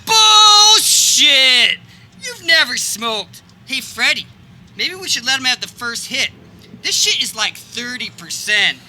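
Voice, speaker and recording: A woman's voice: high-pitched, big pitch swings, slow, sharp tone, full character voice. A woman playing a crude, sarcastic, hot-tempered teenage boy in a live outdoor comedy radio play. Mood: angry. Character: foul-mouthed, hot-tempered, sneering rebellious teenage boy. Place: backyard, before a live audience. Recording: live outdoor recording, faint background noise.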